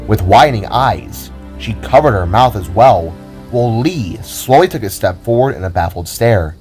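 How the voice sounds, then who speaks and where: A man's voice: lowish voice, lively intonation, moderate pace, a little mellow, faint music behind. A man narrating a scripted audio drama in a dramatic storytelling style.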